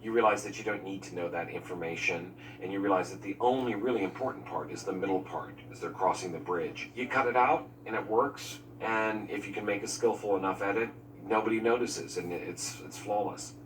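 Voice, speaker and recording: Low voiced guy in a making-of interview, candid opinions on editing, little distant from mic, faint hum. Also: a little fast, hardly any pauses. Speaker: film crew member discussing editing. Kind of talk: candid behind-the-scenes interview commentary.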